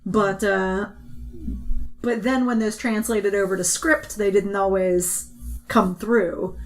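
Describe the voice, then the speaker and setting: A woman's voice: medium pitch, steady tone, takes it slowly, soft background music. A woman being interviewed as a podcast creator, talking in a casual, reflective way.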